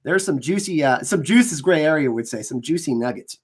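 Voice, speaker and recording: A man hosting a fan channel, breaking down trailers in casual commentary. He is an excitable, enthusiastic fan, and his voice is slightly scratchy from allergies. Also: a little high, fairly quick, breathy edge.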